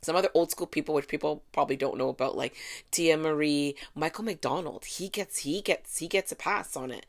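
A woman's voice: low-pitched, a little fast, gravelly. A middle-aged woman hosting a casual solo podcast from home, chatty and irreverent, wandering into asides and digressions. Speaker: podcast host. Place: home, fan running.

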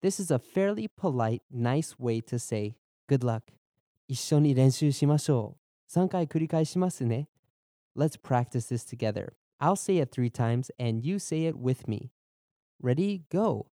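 Podcast language teacher, young man, deep mellow tone, measured and clear, dry studio sound. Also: animated, dry recording. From born in Germany, raised in Boston. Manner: clear, measured explanations, slows down for repeat drills. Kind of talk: bilingual lesson narration, Japanese and English.

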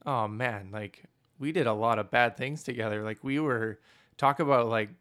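A man hosting a casual interview, with a booming voice and a loud laugh, asking long, searching questions full of fillers. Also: normal speed. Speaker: podcast host.

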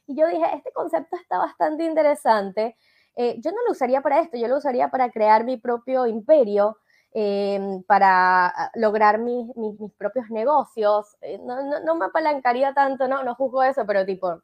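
A woman's voice: on the high side, normal speed, a little mellow. A woman from Venezuela with a Venezuelan accent, a coach explaining her ideas in an interview, with frequent fillers and tag questions. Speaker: life coach guest. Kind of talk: interview conversation.

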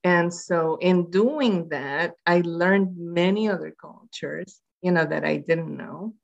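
Rambling Puerto Rican-born woman, low voice. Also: slow pace, vocal fry, dull audio. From Puerto Rico. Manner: rambling, with many fillers and restarts. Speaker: guest, public health researcher. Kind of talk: conversational interview.

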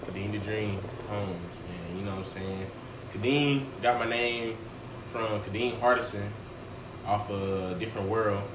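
A man's voice: on the low side, steady tone, a little slow. A man, chatting casually and saying "man" often. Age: 20s. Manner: laid-back slangy talk, says "man" a lot. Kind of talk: casual chat. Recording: echoey room with noise.

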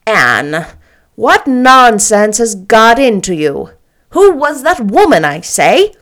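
A stern, sober older woman who speaks bluntly and firmly, put on by a woman reading aloud. The voice is a touch higher than most, and she delivers each line slowly. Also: very animated, a bit bright, full character voice.

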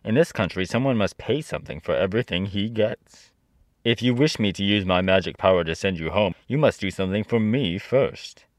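A man's voice: lowish voice, fairly quick, no room sound, studio quality, natural voice. Man voicing a stern, commanding giant wizard head. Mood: stern, demanding. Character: imperious giant floating head, a great and terrible wizard. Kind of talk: storybook character reading.

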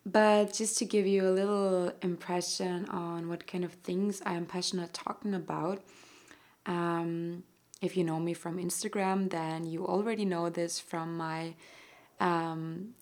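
A young Austrian woman talks slowly through her own podcast, her voice sitting a little low for a woman. She speaks with an Austrian German accent and rambles gently, with soft laughs and plenty of "um"s. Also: fairly even intonation. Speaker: podcast host. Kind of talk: casual solo podcast monologue.

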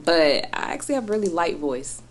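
A woman with a fairly low voice talks easily and chattily as a guest rapper. She has a relaxed, conversational way of speaking and drops to a softer level at the ends of her thoughts. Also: brisk. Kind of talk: casual interview chat.

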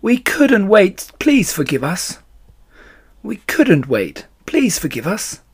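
A man voicing a gentle, shy, devoted mother fox in a children's story, tender and earnest. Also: sits high, wildly swooping pitch, takes it slowly, husky, voice pushed up, exaggerated voice. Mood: apologetic affection.

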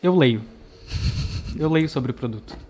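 A man's voice: a little high, lively intonation, a little slow, darkish tone. A man playing a cheerful 1800s worker agitator. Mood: mock-earnest. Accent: Brazilian. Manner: mock-earnest, grinning delivery. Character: male 1800s worker agitator job candidate.

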